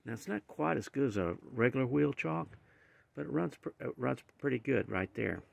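A man's voice: mid-range voice, lively intonation, moderate pace, gravelly, dry recording. An older man casually explaining as he demonstrates gear in a garage, rambling as he goes. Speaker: channel host. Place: garage with van, indoors and outdoors.

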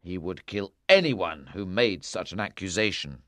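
A grave medieval king from a storybook, played by a man, weary and resigned. His voice sits fairly low and he speaks in a measured way. The sound is dry. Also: lively intonation, bright, forceful tone, no echo. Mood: warning. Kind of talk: dramatised storybook reading.

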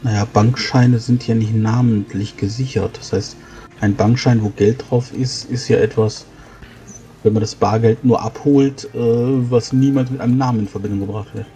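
Bright-voiced man explaining in character. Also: medium pitch, steady tone, normal speed, faint music behind. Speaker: tabletop role-playing player. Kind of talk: in-character improvised game dialogue.

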